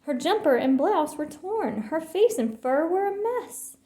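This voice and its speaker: A woman reading a children's story aloud in an expressive, theatrical way. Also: very high voice, unhurried. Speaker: storybook reader. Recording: roomy, echoing sound.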